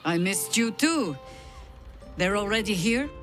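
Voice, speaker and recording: A woman's voice: mid-range voice, lively intonation, measured pace, a bit bright, soft background music. A woman playing a seasoned agent in a video game, with a dry, familiar manner. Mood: dry, assured. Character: seasoned, dry-witted female Harper agent.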